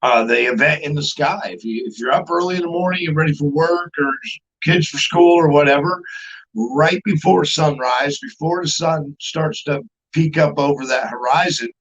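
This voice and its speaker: A man hosting a casual live show, talking informally and haltingly. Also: a little high, fairly bright tone. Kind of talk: casual livestream interview. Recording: dull, muffled livestream audio.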